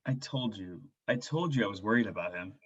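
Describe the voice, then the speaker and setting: Man acting husky, worried father, muffled audio. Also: fairly low pitch, expressive, brisk, fairly bright tone. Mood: worried, frustrated. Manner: pleading, earnest. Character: worried, frustrated stay-at-home father and husband. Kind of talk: staged reading of a contemporary drama.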